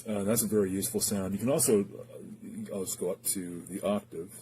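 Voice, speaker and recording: Low-pitched man, halting casual demo talk. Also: fairly even intonation, heavy background noise. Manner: hesitant, halting, thinking aloud. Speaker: gear reviewer demonstrating a guitar effects pedal.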